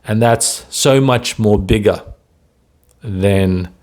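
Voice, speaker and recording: A man speaking slowly and deliberately in a lowish voice, leaving long pauses between phrases. He coaches people who stutter and talks straight to the viewer. He often checks in with a quick "right?" as he goes. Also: darkish tone. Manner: slow, deliberate delivery with frequent pauses and "right?" tags. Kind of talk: motivational talk to camera.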